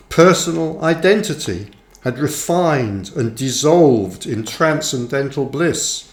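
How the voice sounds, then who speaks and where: A man reading his own book aloud as scripted narration, with measured, deliberate phrasing. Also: medium pitch. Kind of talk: audiobook-style scripted narration. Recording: roomy, echoing sound.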